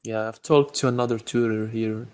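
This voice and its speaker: A man's voice: fairly low pitch, steady tone, normal speed, darkish tone, clear, resonant tone, dry recording, studio quality. A man from Morocco with a Moroccan accent, chatting casually in English as a learner, a little hesitant as he searches for words. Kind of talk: casual chat.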